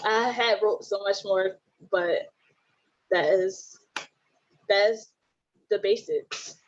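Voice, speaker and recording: Slow-talking young woman, muffled call. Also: medium pitch. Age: young adult. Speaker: student panelist. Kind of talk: informal panel testimonial. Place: video call. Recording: muffled video call audio.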